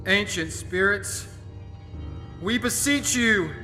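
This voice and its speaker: An actor playing a solemn young man leading a seance, speaking in a slow, portentous, declaiming way in an audio horror drama. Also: high-pitched. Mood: solemn, commanding. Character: young man Chris, solemn seance leader calling spirits. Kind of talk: dramatic chanting, incantation. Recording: long cavernous reverb, music underneath.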